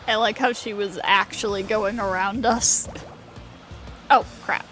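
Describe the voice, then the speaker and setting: A woman's voice: medium pitch, steady tone, unhurried, a bit bright, soft background music. A woman game streamer giving casual live commentary as she plays.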